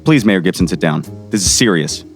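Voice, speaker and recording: A man's voice: low voice, lively intonation, fairly quick, soft background music. A man playing an earnest, dutiful small-town sheriff in an audio drama, serious and respectful. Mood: grave.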